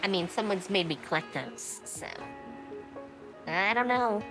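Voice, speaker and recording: A woman's voice: medium pitch, unhurried, music in the background. A woman streamer giving casual commentary on a game, with dry, sarcastic asides. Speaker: let's play streamer.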